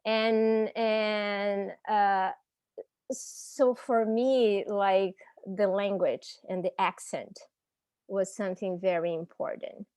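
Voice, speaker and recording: Slow, reflective Brazilian woman. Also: mid-range voice, steady tone, a little mellow, clean tone, dry recording. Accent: Brazilian Portuguese. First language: Portuguese. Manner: reflective, searching for words. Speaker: panelist and language teacher. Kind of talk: personal reflection on a panel. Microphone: video call.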